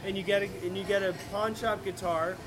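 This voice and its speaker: High-voiced chatty Florida host. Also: male voice, fairly quick, low-quality recording. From Sarasota, Florida. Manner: chatty, excitable, interjecting. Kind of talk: casual chat.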